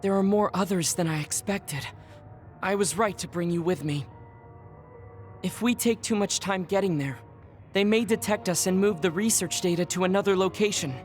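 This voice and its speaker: A man voicing a reserved young male soldier who hides a secret, speaking formally and softly. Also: high-pitched, normal speed, close to an everyday voice. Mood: worried, formal. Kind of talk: game cutscene dialogue. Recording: game audio with music behind.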